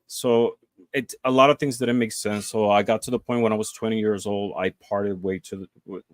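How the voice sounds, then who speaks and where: A man's voice: on the low side, steady tone, normal speed, no echo, studio quality. A man, a guest telling his story in a casual interview, earnest and full of fillers and restarts. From Puerto Rico.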